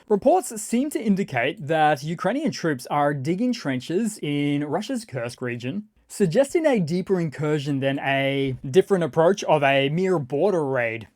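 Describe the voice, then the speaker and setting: A news commentator narrating scripted war-map updates in a measured, explanatory way with wry asides. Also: mid-range voice, a little mellow, no echo, studio quality. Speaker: news commentator and channel host.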